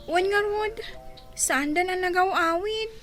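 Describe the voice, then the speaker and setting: A very high, childlike little girl's voice, plaintive and affectionate. It is radio drama acting with music behind it. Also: a little slow, a bit bright. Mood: meek. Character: anxious, affectionate little girl, youngest sister.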